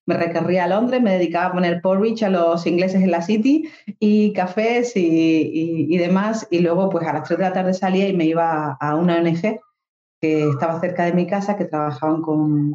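A woman's voice: fairly low pitch, mellow, reverberant, lo-fi sound. A woman in her thirties from Spain with an Andalusian accent, a psychologist and coach talking reflectively as an interview guest, often tagging her sentences with little questions. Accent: Andalusian Spanish. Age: 30s. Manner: reflective, frequent tag questions. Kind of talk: casual interview talk.

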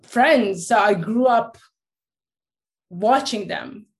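A woman's voice: a little high, extremely slow. A woman in her late thirties, originally from Iran, telling her personal story as a guest with many restarts and fillers. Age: late 30s. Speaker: guest, life coach.

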